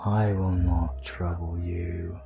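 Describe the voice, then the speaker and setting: Man acting as deep, measured, scheming demon lord. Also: level tone, music in the background. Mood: cold, commanding. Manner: slow, deliberate, commanding. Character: calm, scheming, imprisoned demon lord commanding his servants. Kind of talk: fantasy audio roleplay voice acting.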